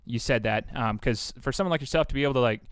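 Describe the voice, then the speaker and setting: A man's voice: medium pitch, fast talker, dry recording. A man hosting a casual sports interview podcast, upbeat and chatty with plenty of fillers. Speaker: podcast host.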